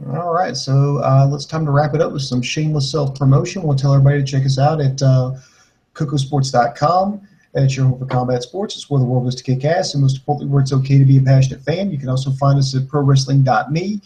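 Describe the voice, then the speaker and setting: A man with a mid-pitched voice co-hosts a wrestling podcast. He chats casually through listener questions and news, with a blunt and joking way of talking. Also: quick pace, warm tone, low-quality recording. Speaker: podcast co-host.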